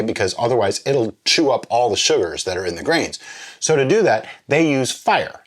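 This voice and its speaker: A man hosting a drinks review vlog, chatting casually and explaining as he muses aloud, with pauses and little check-ins. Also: fairly low pitch. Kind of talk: casual chat, tasting review.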